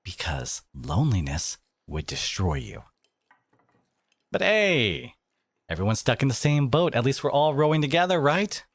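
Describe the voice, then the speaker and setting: A man playing a devoted, protective boyfriend in a dramatic roleplay audio, speaking emphatically with sarcastic edges and dramatic pauses. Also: medium pitch, very animated, no room sound. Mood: indignant, sarcastic.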